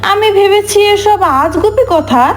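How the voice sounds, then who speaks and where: A woman voicing a clever, bossy young wife in a cartoon, brisk and commanding. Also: very high-pitched, soft background music. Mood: scheming. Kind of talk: cartoon voice acting.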